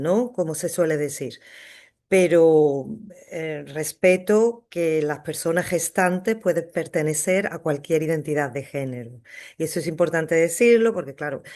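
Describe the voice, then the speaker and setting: A woman's voice: lowish voice, darkish tone. A woman giving an academic talk over a video call, hesitant, with many fillers and restarts. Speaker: psychotherapist and researcher giving an online talk.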